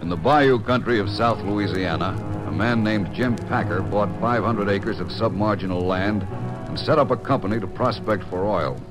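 A man's voice: lowish voice, poor audio. A man reading scripted narration for a vintage radio crime drama. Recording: old radio broadcast recording. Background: dramatic music.

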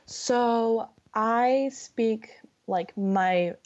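A young woman with a Californian accent, a guest chatting in a friendly, giggly way with frequent ums and pauses. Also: on the high side, a little mellow, lo-fi sound. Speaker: podcast guest. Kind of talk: casual conversation over a video call.

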